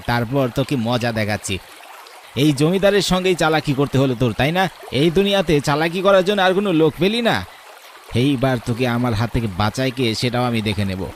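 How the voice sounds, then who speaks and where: A man's voice: mid-range voice, normal speed, slight background noise, close to an everyday voice. A man voicing an arrogant, scheming, murderous landlord in a horror cartoon, bullying and taunting. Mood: gloating, menacing. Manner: bullying, haughty, taunting rhetorical questions. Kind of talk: Bengali horror cartoon voice acting.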